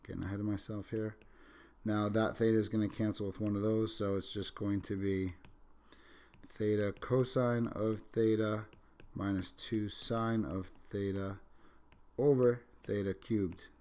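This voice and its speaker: A man with a somewhat low voice teaches calculus while he is sick and sniffling into the microphone. He narrates each step as he writes. He stops now and then to correct himself. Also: fairly even intonation, measured pace, dark tone. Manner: talks through steps while writing, self-correcting asides. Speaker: math teacher. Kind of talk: recorded calculus lecture.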